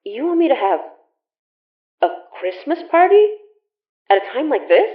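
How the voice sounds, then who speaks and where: A woman's voice: high voice, sing-song, unhurried. An actress playing a weary, defiant young woman with magical senses in an audio drama, speaking casually and bluntly with a wry edge. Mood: incredulous. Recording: muffled phone-like sound, roomy echo.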